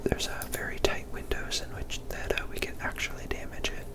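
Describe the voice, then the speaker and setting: A woman whispering casual, rambling ASMR commentary over gameplay in a soft, unvoiced voice. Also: brisk. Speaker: gameplay video creator.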